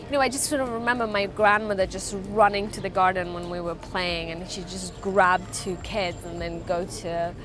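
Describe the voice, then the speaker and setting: A woman from Afghanistan whose first language is Farsi, giving earnest, halting interview answers about her life. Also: lowish voice, fairly even intonation, background noise. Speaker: interviewee, refugee charity founder.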